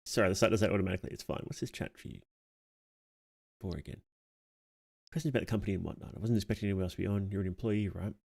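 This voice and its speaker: A man's voice: sits low, rapid-fire, vocal fry, pauses often, darkish tone. A man streaming casually, reading on-screen text aloud and musing on it as he goes. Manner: reads text aloud, muses and reacts aloud. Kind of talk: casual livestream reading and commentary.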